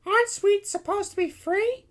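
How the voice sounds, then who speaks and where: Very high-pitched little princess. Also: normal speed. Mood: puzzled. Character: young princess girl, naive, outspoken, curious.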